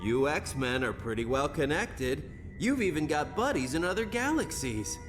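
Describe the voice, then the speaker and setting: An actor playing a flippant young superhero in a game, joking in a casual, slangy way. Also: male voice, a little high, very animated, normal speed, music in the background. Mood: impressed, teasing.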